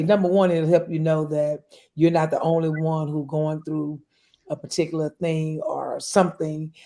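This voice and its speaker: A woman's voice: low voice, darkish tone. A woman talking in an encouraging, conversational way as a guest in a group interview about a show. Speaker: stage play guest.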